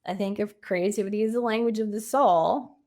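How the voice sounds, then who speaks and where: A woman with a medium-pitched voice answers as an interview guest. She talks conversationally and reflectively, setting off key phrases with deliberate pauses and emphasis. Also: a little mellow. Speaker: podcast guest, coach and author.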